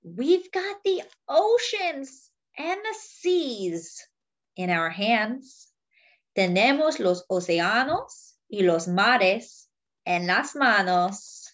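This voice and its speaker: A woman's voice: on the high side, animated, takes it slowly, fairly bright tone, lo-fi sound. A woman reading a picture book aloud to young children, in simple phrases as a children's storyteller.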